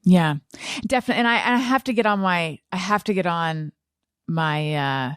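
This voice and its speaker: A woman's voice: fairly low pitch, moderate pace, smooth, no room sound, clean studio sound. A woman hosting a podcast, chatting casually in a candid, blunt way with self-deprecating humour. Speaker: podcast host. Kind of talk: casual conversational podcast chat.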